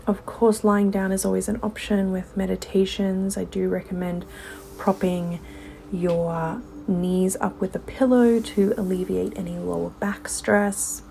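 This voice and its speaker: Female meditation guide, yoga-teacher delivery: soothing, soft and near-whispered at times, very unhurried, with some vocal fry. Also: mid-range voice, slight background noise. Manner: unhurried instruction with gentle pauses.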